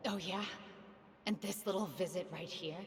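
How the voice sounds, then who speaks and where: A woman's voice: on the low side, moderate pace, close to an everyday voice. An actress playing a wounded, fiery woman in a dramatic video game scene. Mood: furious. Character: wounded, fiery woman confronting a man she trusted. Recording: echoey hall reverb, faint noise.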